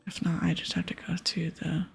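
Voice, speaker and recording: Breathy whispering woman, ASMR game playthrough. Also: lowish voice, monotone, moderate pace, faint music behind. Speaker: streamer reading game dialogue aloud.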